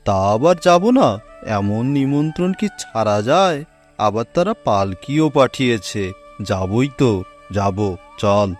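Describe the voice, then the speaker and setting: A man voicing a gullible, greedy tiger uncle in an animal fable cartoon, with a drawn-out, self-satisfied delivery. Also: fairly low pitch, expressive, faint music behind. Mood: eager.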